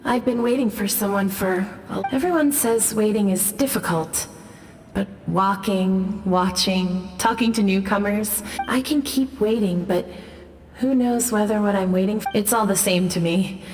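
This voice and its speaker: A woman playing a gentle, patient, wistful spirit in a video game, speaking softly and without hurry. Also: mid-range voice, measured pace, a little hiss or hum, natural voice. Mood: resigned, wistful.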